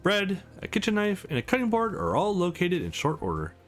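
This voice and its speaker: Man, let's play host, casual read-aloud narration, occasional stumbles, highly animated intonation, faint background music. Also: a little fast. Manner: casual, stumbles and corrects himself while reading. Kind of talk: reading a visual novel aloud with casual asides.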